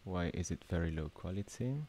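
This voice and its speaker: Low-voiced young Italian man. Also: dark tone, slight background noise. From Italy. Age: 20s. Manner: informal, hesitant, thinking aloud. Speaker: workshop host, new media artist. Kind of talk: casual livestream masterclass, chatting with viewers. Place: small indoor room.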